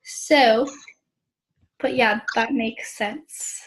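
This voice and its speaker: A young American woman casually facilitating a small-group discussion, often clearing her throat. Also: fairly high pitch, steady tone, very slow, rough recording. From Idaho, United States. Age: young adult.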